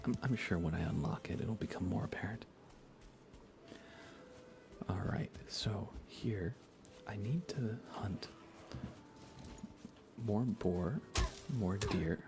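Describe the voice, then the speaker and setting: A man with a very low, fry-laden voice chats quietly on an ASMR gaming livestream. He keeps things hushed, often slipping toward a whisper, and wanders through his thoughts unhurriedly. Steady noise sits clearly behind him. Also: unhurried, long pauses. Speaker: live streamer. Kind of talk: soft-spoken ASMR gaming livestream chat.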